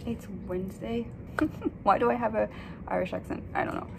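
A young woman talks casually into a phone camera in a hotel room. Her voice is soft, thoughtful and a little hesitant, with a gravelly fry at the ends of phrases. A steady hiss of room noise sits behind her. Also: medium pitch, normal speed. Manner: soft, reflective, hesitant with fillers. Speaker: vlogger. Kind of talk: casual vlog talk to camera.

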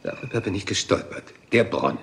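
An actor playing a dogged middle-aged police inspector in a radio crime drama, patient and musing, circling back to small details. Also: male voice, fairly low pitch, expressive, fast talker, fairly bright tone, a little hiss or hum. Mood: calm.

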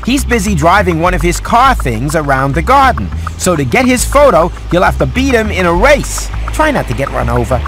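An actor playing a sarcastic, fussy house cat who wisecracks his way through an animated video game. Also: high-pitched, normal speed, hardly any pauses, faint music behind, heavily acted. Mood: wry, instructing. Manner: wisecracking, sardonic.

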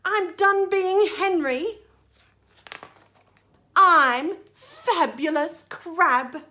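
A woman's voice: very high voice, very slow, pushed and bright, pitched above the performer's own voice, heavily acted. A woman voicing a flamboyant young male hermit crab in a storybook reading, theatrical and bold. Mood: defiant.

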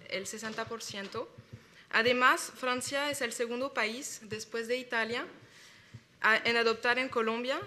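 A woman's voice: fairly high pitch, sharp tone. A young woman with a French accent, French her first language, presenting her doctoral research in careful, hesitant Spanish at an academic conference. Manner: careful, measured delivery with hesitations. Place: lecture hall with a large audience.

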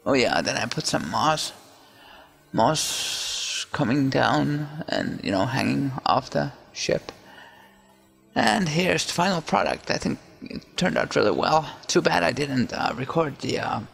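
Gravelly man, slow hesitant voice-over. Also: mid-range voice. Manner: relaxed, hesitant, many fillers and restarts. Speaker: digital artist narrating his speedart. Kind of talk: casual voice-over commentary.

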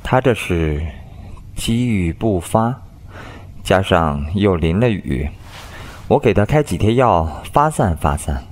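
A man playing a calm physician who speaks in a steady, matter-of-fact way. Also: low-pitched, slow pace. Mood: reassuring. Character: calm male village physician.

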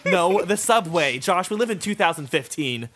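A man's voice: high voice, lively intonation, a little slow, background noise. A man co-hosting a gaming video with crude, teasing, irreverent banter in a casual style. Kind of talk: joking casual gameplay banter. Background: video game music.

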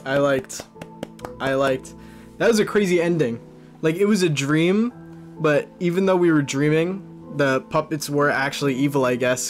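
A man in his twenties giving casual, excitable and jokey live commentary as he plays a video game. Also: fairly high pitch. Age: 20s. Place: small room indoors at a gaming setup.

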